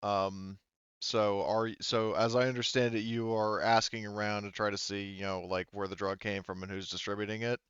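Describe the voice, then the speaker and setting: A man running a tabletop game as game master, casually thinking aloud in a halting way. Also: lowish voice, level tone, fairly bright tone, clean tone, dry recording, lo-fi sound.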